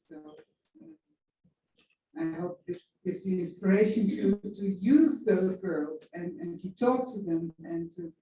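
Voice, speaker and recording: A woman giving an encouraging, halting comment from the audience at a workshop. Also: medium pitch, warm tone, muffled recording, slight background noise. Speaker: workshop participant. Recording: echoey hall, far from mic.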